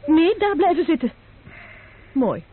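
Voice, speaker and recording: A woman's voice: high voice, big pitch swings, measured pace, background noise. A cool, commanding young lady. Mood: stern. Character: stern, composed young female messenger. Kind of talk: vintage radio play acting. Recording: tinny lo-fi old radio broadcast.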